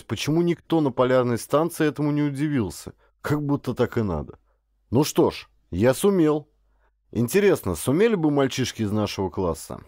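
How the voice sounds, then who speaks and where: A man narrating a first-person literary story as an audiobook, with expressive, acted storytelling. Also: medium pitch, dry recording, clean studio sound.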